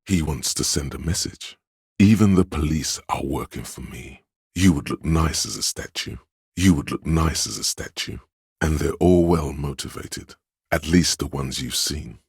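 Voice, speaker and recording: Very deep, imperious inner voice. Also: male voice, breathy edge, no room sound, studio quality, full character voice. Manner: pompous, imperious, measured. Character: stern, domineering inner voice of authority, haughty and commanding. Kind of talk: dramatic game narration.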